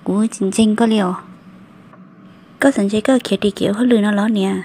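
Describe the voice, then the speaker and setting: An actress voicing a woman in an animated family drama, in an amateur cartoon style. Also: medium pitch, fairly quick, breathy edge. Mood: conversational.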